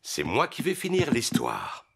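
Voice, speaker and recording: A man's voice: medium pitch, wildly swooping pitch, a little slow, gravelly, a bit bright, husky, exaggerated voice. A man voicing a jolly, easygoing daddy pig in a French cartoon dub. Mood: jovial. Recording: music behind the voice.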